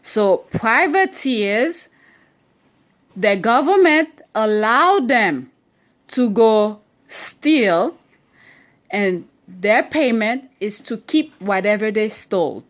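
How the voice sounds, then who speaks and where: Slow, patient accented woman teaching at home. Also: on the high side, lively intonation. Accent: non-standard English accent. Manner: checks understanding with frequent "okay?" and questions; deliberate, stretches key words while reading. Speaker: parent teaching her children at home. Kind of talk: reading a history book aloud and explaining it.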